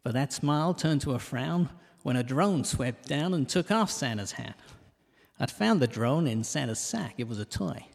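A man playing a cheeky, self-mocking detective who narrates a comic audio drama in rhyme, landing puns deadpan. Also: medium pitch, vocal fry. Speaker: narrator and lead character. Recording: reverberant hall sound.

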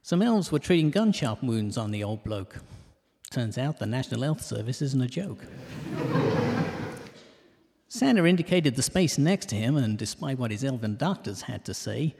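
Male narrator, mid-pitched, measured comic rhyming storytelling, recorded with long reverb. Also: animated, gravelly, darkish tone, slight background noise. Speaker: narrator of a comic detective podcast. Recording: long reverberant hall echo.

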